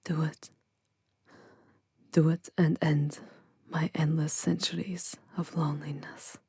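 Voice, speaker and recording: Casting: woman as ancient vampire countess, low voice, haughty and lovelorn, slow imperious delivery, ASMR roleplay drama. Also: little pitch movement, gravelly, a little mellow, full character voice. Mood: heartbroken resignation. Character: powerful centuries-old female vampire countess, possessive, haughty, lovelorn.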